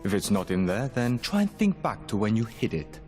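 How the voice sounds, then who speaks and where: An actor playing a deferential but dryly sarcastic, long-suffering manservant in a video game. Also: male voice, fairly low pitch, a little fast. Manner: polite sarcasm, deadpan wording. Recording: faint music behind.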